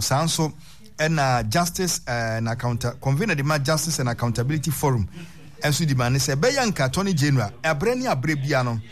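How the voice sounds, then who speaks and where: A man with a Ghanaian accent presenting a radio talk show, slowly reading out phone numbers and switching between languages. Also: medium pitch. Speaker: radio host.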